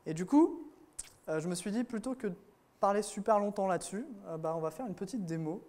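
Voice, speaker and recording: A man's voice: high voice, animated, brisk, a little mellow. A man giving a casual technical talk to a room of developers at a conference, with many fillers and restarts. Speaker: conference speaker.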